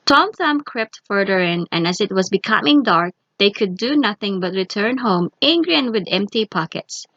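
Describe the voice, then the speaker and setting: Animated woman reading a children's story. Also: mid-range voice, moderate pace, dry recording, highs cut off. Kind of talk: scripted children's story narration.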